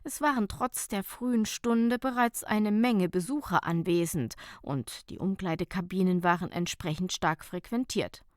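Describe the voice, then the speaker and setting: A woman's voice: lowish voice, moderate pace, hardly any pauses, no room sound, studio quality. A woman narrating a light, humorous short story as an audiobook reader, in a scripted reading style.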